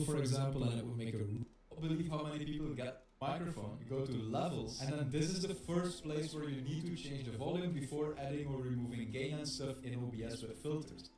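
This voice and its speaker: A man's voice: medium pitch, a little fast, hardly any pauses, dark tone. Man explaining audio settings in an echoey room. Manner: instructive, methodical. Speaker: audio tutorial presenter. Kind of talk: explanatory tutorial narration.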